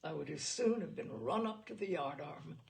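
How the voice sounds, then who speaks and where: A woman reading the part of a grieving, warm-hearted naval captain in an audiobook, telling his story earnestly. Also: deep voice, animated, moderate pace, vocal fry, pitched below the performer's own voice. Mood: subdued, moved.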